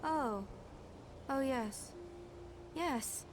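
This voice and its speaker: A dazed, fawning waitress in a game. Her highish voice drags extremely slowly and dreamily, with clear background noise. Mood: dazed, adoring. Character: dazed, adoring female small-town waitress. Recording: noisy ambience.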